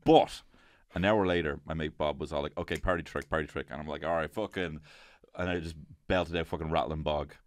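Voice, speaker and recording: An Irish man co-hosting a casual comedy podcast, telling rambling anecdotes and sometimes swooping into high mock singing. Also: steady tone, a little fast, few pauses, dry recording, studio quality. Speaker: podcast co-host.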